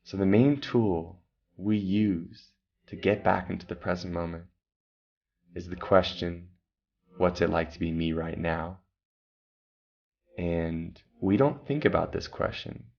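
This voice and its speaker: A very low, gravelly male voice leads a guided meditation, speaking calmly and slowly. He gives breathing instructions with long pauses between them. The recording sounds slightly dull and lo-fi.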